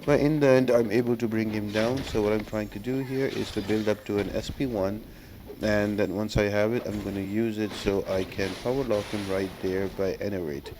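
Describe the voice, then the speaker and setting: A man's voice: lowish voice, steady tone, moderate pace, noisy background. A man giving instructional walkthrough commentary on mobile game fights, explaining each step as a guide. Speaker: gaming video creator giving guides. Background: music.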